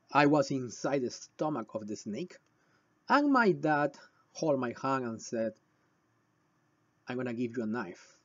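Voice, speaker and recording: A man from Peru with a Peruvian Spanish accent and a husky voice, explaining and telling stories to viewers in short, emphatic phrases with deliberate pauses. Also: a little high, darkish tone, no echo, dull audio. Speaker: shamanic healer presenting a video. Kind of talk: explanatory talk with personal storytelling.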